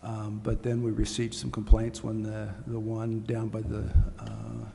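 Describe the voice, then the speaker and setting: A man speaking as a city official at a public meeting, explaining in hesitant, deliberate phrases. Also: fairly low pitch, completely flat delivery, gravelly, dark tone. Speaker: city administrator. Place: council chambers. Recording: reverberant hall with faint noise.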